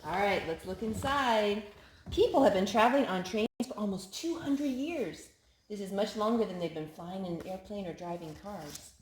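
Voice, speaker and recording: A woman reading picture books aloud to young children in an animated way, coaxing them with questions and leaning on key words. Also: mid-range voice, moderate pace, a little hiss or hum, poor audio.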